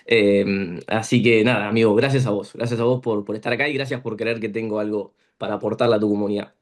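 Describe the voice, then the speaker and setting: A man's voice: fairly low pitch, fairly even intonation, fast talker. Hesitant young Rioplatense-accented man. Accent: Rioplatense Spanish. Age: 20s. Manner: hesitant, many restarts and repeated words. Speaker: podcast guest. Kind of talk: casual interview talk.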